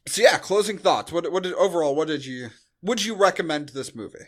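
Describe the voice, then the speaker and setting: A man's voice: on the high side, animated, fairly quick. An American man co-hosting a podcast in casual, rambling, joking conversation. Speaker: podcast co-host. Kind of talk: casual chat, movie review.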